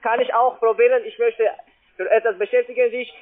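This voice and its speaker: A man with a foreign accent, not a native German speaker, making a halting, repetitive, insistent telephone sales pitch. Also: level tone. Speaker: call-centre sales caller. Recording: muffled, narrow phone line.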